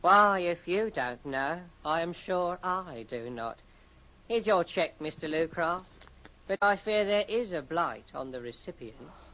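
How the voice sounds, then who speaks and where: An actor playing a chatty, officious solicitor's clerk in a radio horror drama, blunt but pitying. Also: male voice, on the high side, expressive, a little slow, pushed and bright, heavily acted. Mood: blunt concern. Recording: dull, lo-fi vintage radio recording.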